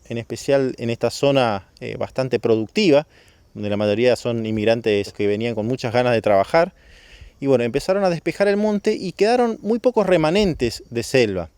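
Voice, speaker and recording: Male interviewee, northeastern Argentine accent, medium pitch, didactic public official, dry recording. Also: darkish tone, smooth, no echo. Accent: northeastern Argentine Spanish. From Misiones, Argentina. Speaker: government biodiversity official. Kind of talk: explanatory interview talk.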